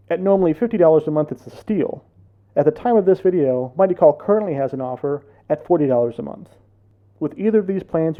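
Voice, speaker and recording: Higher-pitched American man narrating an explainer. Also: narrow, tinny audio. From United States. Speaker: presenter for a tech consultancy.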